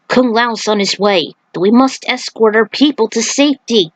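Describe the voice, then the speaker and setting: A woman acts out an urgent, weakening god of thunder from a fantasy story. He speaks in solemn, emphatic declarations, pitched fairly high, in a dry recording. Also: normal speed, dry recording, natural voice. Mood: urgent, commanding.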